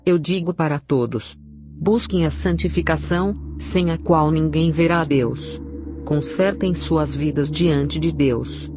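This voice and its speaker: Female narrator, deep for a woman, solemn, measured, reading a religious testimony, music underneath. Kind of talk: scripted narration. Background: background music.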